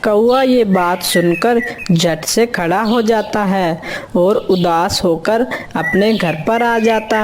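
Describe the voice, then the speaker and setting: Female storyteller for scripted children's narration. Mid pitch, unhurried pace, slightly noisy recording. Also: a little mellow.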